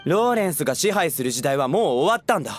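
A man's voice: fairly high pitch, very animated, fast, faint music behind. An actor playing a hostile young townsman in an anime game, speaking curtly and bluntly with scorn. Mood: hostile, irritated.

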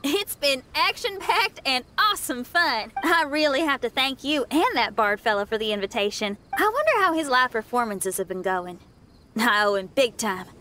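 Bright, high-voiced spirited young rocker. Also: female voice, lively intonation, normal speed, a little hiss or hum. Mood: upbeat, friendly. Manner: casual, colloquial, warm enthusiasm. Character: upbeat young female rock musician, friendly and spirited. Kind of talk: video game character dialogue.

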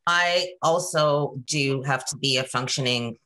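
A woman in her forties from Canada, talking casually on a video call, animated and chatty, often checking in with "right?" and "you know". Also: very deep voice, a little slow, rough recording. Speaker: guest presenter. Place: home room.

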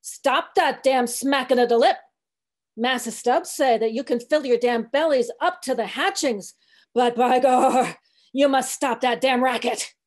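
Storybook role: a sullen old male ship's cook mumbling a sermon, voiced by a woman reader, slow and high. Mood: grumbling.

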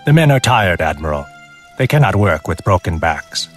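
An actor playing an earnest, honorable Chinese fleet captain with a measured, formal delivery in a game cutscene. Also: male voice, deep voice, sing-song. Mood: grave, restrained. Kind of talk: dramatic game cutscene acting. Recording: game audio with music behind.

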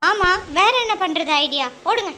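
Brief: woman as a stern, threatening mom, very high voice, noisy recording. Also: expressive, moderate pace, sharp tone, full character voice. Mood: scolding. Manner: scolding, mock-threatening. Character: strict, threatening mother of the house. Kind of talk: family comedy skit. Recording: noisy background.

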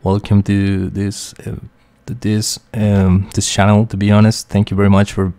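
A young man from Costa Rica with a Spanish accent, a QA engineer talking through a software tutorial with many fillers and restarts. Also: fairly low pitch, completely flat delivery, normal speed, dry recording. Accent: Costa Rican Spanish. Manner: hesitant, many fillers and restarts, quietly talks to himself. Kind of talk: screen-share tutorial explanation.

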